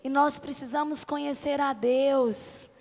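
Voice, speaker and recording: A woman's voice: sits high, fairly even intonation, a little slow, darkish tone. A Brazilian woman preacher delivering an impassioned, emphatic sermon at a church event, driving points home with rhetorical questions and repeated short phrases. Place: church event before a congregation. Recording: reverberant hall amplification.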